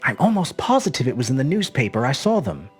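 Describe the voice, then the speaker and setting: A woman acts out a teenage boy in a storybook, breathy and swinging widely in pitch. He is courteous, earnest and puzzled, thinking aloud in hesitant steps. Faint music plays behind the voice. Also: big pitch swings, fast talker, exaggerated voice. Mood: polite. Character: polite, puzzled, earnest seventeen-year-old English schoolboy lodger.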